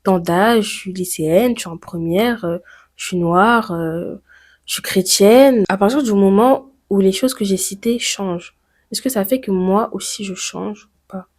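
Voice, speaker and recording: A teenage girl from France talks straight to her camera in a personal vlog. She thinks aloud, with many fillers and rhetorical questions, and her voice sits at an ordinary pitch. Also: a little slow, darkish tone. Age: teens. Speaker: YouTuber.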